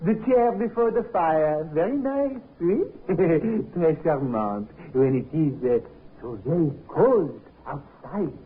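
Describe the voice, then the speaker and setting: Sly Frenchman, French accent, halting English, fairly high voice, tinny old radio drama. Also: expressive. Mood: suave. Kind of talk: 1950s radio drama acting. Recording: scratchy, tinny 1950s radio recording.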